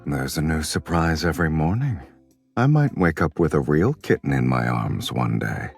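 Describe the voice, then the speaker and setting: A man voicing a suave, teasing male crime boss in a game, with a very deep, husky, low-key drawl. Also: very animated, moderate pace, faint music behind, exaggerated voice.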